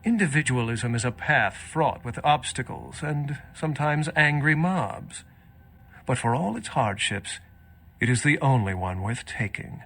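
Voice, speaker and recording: An actor playing a self-assured, scholarly loner vampire in a video game, speaking in an erudite, wry, measured manner. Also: male voice, medium pitch, lively intonation, a bit bright. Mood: cool, intrigued. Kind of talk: dramatic video game voice acting.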